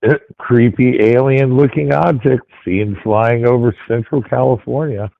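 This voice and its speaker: A man calling into a show, laid-back and unhurried as he talks about a sighting. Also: mid-range voice, fairly even intonation, gravelly. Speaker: caller. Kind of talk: casual phone call. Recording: dull dry phone audio.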